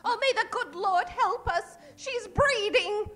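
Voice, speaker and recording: A woman's voice: very high voice, takes it slowly, pushed and bright, heavily acted. A woman playing a prim, righteous frontier schoolmarm in an old-time radio comedy western, scolding in a proper, theatrical way. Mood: dismayed, defiant.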